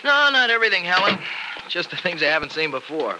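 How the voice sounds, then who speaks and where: A man's voice: high-pitched, big pitch swings, fast talker. An actor playing an earnest, folksy farm man with an American accent in a classic radio drama. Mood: easygoing. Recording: old radio recording, faint noise.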